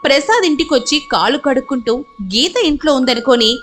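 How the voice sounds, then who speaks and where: Woman, high voice, scripted story narration, faint background music. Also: fairly quick, fairly bright tone.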